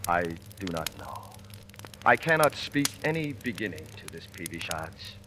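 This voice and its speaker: An actor playing a scheming soldier who feigns hearty honesty, with insinuating, theatrical Shakespearean delivery in old-time radio style. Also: male voice, low voice, sing-song, normal speed, heavily acted. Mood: reluctant, grave. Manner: theatrical, insinuating, shifting from hushed to urgent. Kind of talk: 1940s radio acting of Shakespeare. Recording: noisy vintage radio recording.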